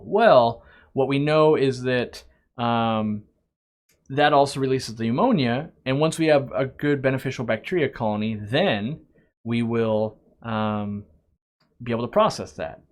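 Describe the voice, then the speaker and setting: Chatty man rambling through a live stream. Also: mid-range voice. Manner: rambling and chatty, with frequent restarts and asides; reads viewer questions aloud, then answers them. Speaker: aquarium shop owner hosting a live stream. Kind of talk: casual live-stream Q&A chat.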